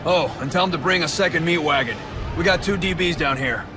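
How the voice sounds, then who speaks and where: An actor playing a city police officer in a video game, casual, blunt and matter-of-fact. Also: male voice, on the high side, a little fast, over music, natural voice. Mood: businesslike.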